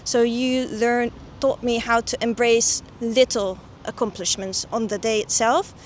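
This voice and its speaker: Higher-pitched young woman, reflective. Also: steady tone, unhurried, a little hiss or hum. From the Netherlands. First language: Dutch. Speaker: guest, coaching client and doctor. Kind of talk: personal testimonial interview.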